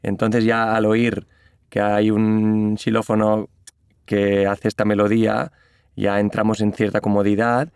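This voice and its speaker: Low gravelly Catalan-accented man, hesitant. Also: monotone, unhurried, a little mellow, dry recording, clean studio sound. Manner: thoughtful, hesitant, many restarts. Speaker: musician, interview guest. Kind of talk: interview conversation.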